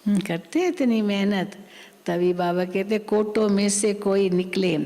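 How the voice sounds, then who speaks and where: Slow-speaking woman teacher in a reverberant hall. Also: on the low side, a little mellow. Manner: slow, repetitive, explanatory. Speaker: spiritual teacher. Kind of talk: sermon explaining a scripture reading.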